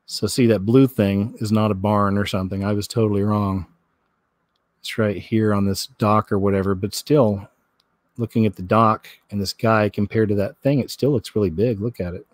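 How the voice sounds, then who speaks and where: A man's voice: fairly low pitch, fairly even intonation, normal speed, dry recording. Casual folksy man commenting, dry close sound. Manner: folksy, rambling, repeats himself. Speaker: host of a news commentary vlog. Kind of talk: casual chatty commentary on clips and read-out news.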